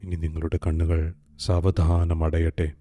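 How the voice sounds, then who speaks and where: A man guiding a meditation in a calm, soft, hushed way. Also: sits low, a little slow, slightly rough. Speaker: meditation guide. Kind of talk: slow guided meditation. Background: soft music.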